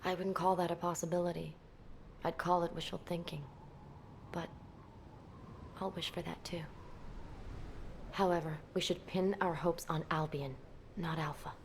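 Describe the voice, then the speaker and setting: A woman acting as a confident female squad commander in a video game, with a low voice. She talks bluntly with a dry, teasing edge, over some background noise. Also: steady tone, normal speed, pauses often, close to an everyday voice. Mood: sober, frank. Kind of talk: scripted game cutscene acting.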